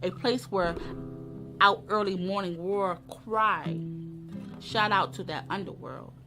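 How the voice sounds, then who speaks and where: A woman's voice: mid-range voice, fairly even intonation, takes it slowly. A woman giving a dramatic reading of poetry. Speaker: poetry reader. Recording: live music under the voice. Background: plucked guitar music.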